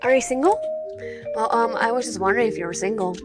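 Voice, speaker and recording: A woman's voice: fairly high pitch, quick pace, over music, close to an everyday voice. A woman voicing a shy, bookish young woman in a dating game, eager and a little flustered. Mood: nervous.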